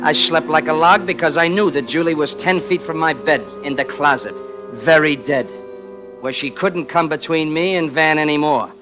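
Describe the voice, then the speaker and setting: A man's voice: on the high side, moderate pace, lightly acted. An actor playing a scheming, offhand tough guy in a 1940s radio crime drama, on a muffled old recording with music. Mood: cool, confiding. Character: scheming, coolly deceitful killer narrating, pretending to be loyal pal.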